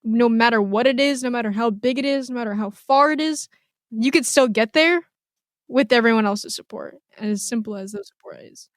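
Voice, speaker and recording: A teenage woman encourages her friends on a podcast, repeating her point for emphasis. Her voice sits somewhat high. The sound is close and dry. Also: fairly quick, no echo. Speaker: podcast co-host. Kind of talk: casual chat among friends.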